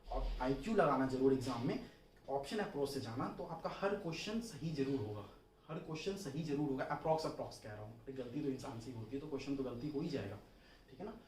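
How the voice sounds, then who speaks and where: A man's voice: medium pitch, animated, fast, darkish tone. A young man giving an informal exam strategy lesson, instructive and colloquial, repeating points for emphasis. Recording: roomy, distant mic, faint noise.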